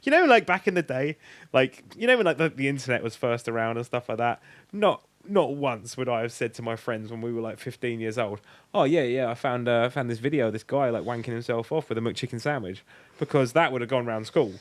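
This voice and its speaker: A man's voice: mid-range voice, lively intonation, fairly quick, dry recording. A man co-hosting a podcast in casual, sweary banter, with a crude joking way of talking. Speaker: podcast co-host.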